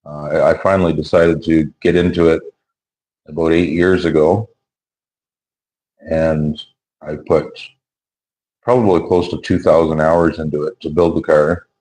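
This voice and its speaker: A hoarse man chatting casually on his vlog as a YouTuber and car builder. He rambles in an easygoing way, restarting his sentences, into a wireless clip-on mic. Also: rumbling low, fairly even intonation, unhurried, long pauses, darkish tone, rough recording.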